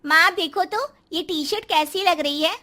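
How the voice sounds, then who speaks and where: A woman's voice: very high voice, normal speed, sharp tone. A woman playing a plain-spoken young sister-in-law in a family cartoon, polite yet pointed. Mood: eager. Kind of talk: Hindi family cartoon dubbing.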